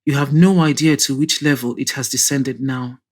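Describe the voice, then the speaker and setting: A woman's voice: rumbling low, steady tone, normal speed. A woman reading the part of an easygoing, joking married man among friends in an audio drama. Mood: wry.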